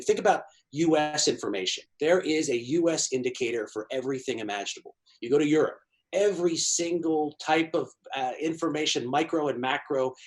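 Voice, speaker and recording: A middle-aged man from Washington, D.C., giving long, fluent, emphatic answers as an interview guest. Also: medium pitch. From Washington, D.C., USA. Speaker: guest, China economy analyst.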